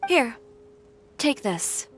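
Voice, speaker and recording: A woman playing a proud, sharp-tongued young fashion designer in a video game, self-assured, wry and measured. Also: a little high, sing-song, full character voice. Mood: composed. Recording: light music under voice.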